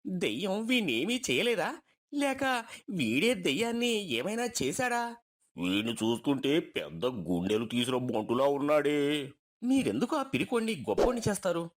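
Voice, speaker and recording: A man's voice: on the high side, fairly bright tone, voice pushed up. A man voicing sceptical, bickering village men who gossip and taunt in a story.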